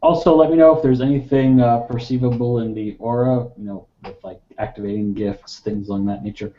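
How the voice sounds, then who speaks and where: A man's voice: mid-range voice, fairly even intonation, fairly quick. A man playing a tabletop roleplaying game, speaking casually about what his character does. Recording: roomy, dull lo-fi voice chat.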